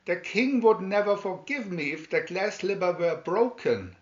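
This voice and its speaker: A man's voice: sits high, expressive, unhurried, roomy sound, muffled recording, voice pushed up. A man voicing an anxious, pompous Grand Duke with a formal, fretful manner in a storybook fairy tale.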